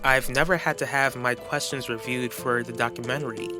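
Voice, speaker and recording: A man voicing a persistent woman interviewer with a formal, questioning manner in an animated soap opera. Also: medium pitch, fairly quick, over music, natural voice. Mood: reluctant. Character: persistent, challenging female interviewer.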